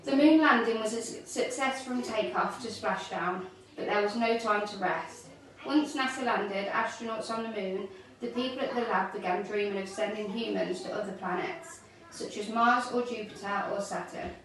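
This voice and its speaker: A woman's voice: medium pitch, normal speed. A woman reading a storybook aloud, in the role of a school staff member. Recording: very echoey, distant mic, faint noise.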